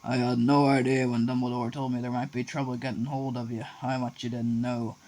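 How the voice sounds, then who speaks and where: A man's voice: mid-range voice, little pitch movement, a little fast, a little mellow, close to an everyday voice. A man voicing a huge, kind-hearted giant of a man who talks bluntly and folksily. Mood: worried. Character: huge gruff kindly man, rough-spoken giant.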